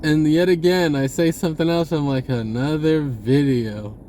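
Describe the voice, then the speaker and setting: Rambling, higher-voiced man over background noise. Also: dark tone. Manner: rambling, halting, often repeating words. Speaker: spiritual video blogger. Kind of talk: casual unscripted monologue to viewers.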